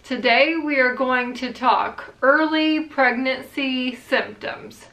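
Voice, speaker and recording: A young woman vlogging in a relaxed, rambling way, her voice bright and pitched a little high. She speaks slowly, and the room around her gives a noticeable echo. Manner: chatty, relaxed, rambling with fillers. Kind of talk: casual chat to camera.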